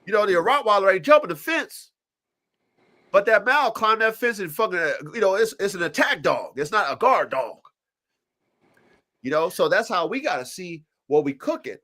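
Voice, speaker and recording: A congested man hosting a live stream, his voice sitting a little higher than most men's. He talks in an animated, profane way, slipping into quoted voices of dogs and owners. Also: moderate pace. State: congested from allergies. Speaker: podcast host. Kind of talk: casual chat. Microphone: live stream mic.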